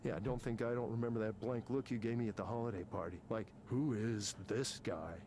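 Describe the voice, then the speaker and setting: A man's voice: medium pitch, a little fast, background noise, close to an everyday voice. An actor playing an angry, self-important tech programmer in his fifties for a video game, ranting with sarcasm and swearing. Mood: bitter, exasperated. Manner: combative, sarcastic, profane ranting. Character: angry, embittered, self-important fifty-something male tech company programmer. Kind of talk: naturalistic video game voice acting.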